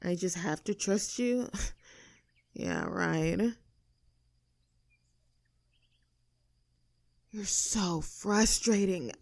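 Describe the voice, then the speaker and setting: A woman's voice: mid-range voice, big pitch swings, slow, creaky, a little mellow, dry recording, full character voice. A woman playing a snooty, abrasive young mean girl in an audio roleplay drama, sarcastic and indignant as she talks straight at the listener. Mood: wary, grudging.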